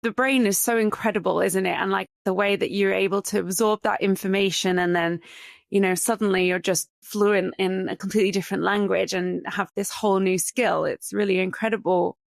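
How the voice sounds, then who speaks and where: A woman hosting a podcast as a coach, reflecting back feelings and asking gentle questions. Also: medium pitch, fairly even intonation, fairly quick, creaky, no room sound, studio quality. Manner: validating, reflective. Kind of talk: empathetic interview questioning.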